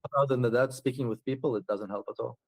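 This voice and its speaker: A man's voice: mid-range voice, steady tone, quick pace, no room sound, low-quality recording. A man chatting casually as an interview guest, often laughing and restarting his sentences. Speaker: traveler guest.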